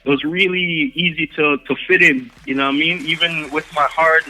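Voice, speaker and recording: Young Jamaican-accented man, relaxed interview guest, high-ish, very bright tone, faint music bed. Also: moderate pace, poor audio. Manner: laid-back, keeps saying "you know what I mean". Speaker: guest artist. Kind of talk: casual interview chat.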